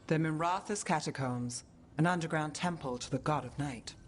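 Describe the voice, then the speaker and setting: An actress playing a guarded, wry, driven detective with a dry, clipped delivery in a game scene. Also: female voice, low voice, normal speed, slight background noise, close to an everyday voice. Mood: matter-of-fact. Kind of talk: cinematic video game acting.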